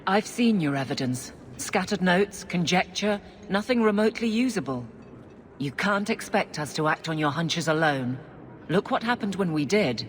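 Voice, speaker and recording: Female actor, stern official, dismissive, very low pitch, game dialogue, long reverb with faint noise. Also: animated, moderate pace, close to an everyday voice. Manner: blunt, firm, dismissive. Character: stern, dismissive guard captain woman, blunt and official. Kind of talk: video game dialogue acting. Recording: faintly noisy, echoing hall.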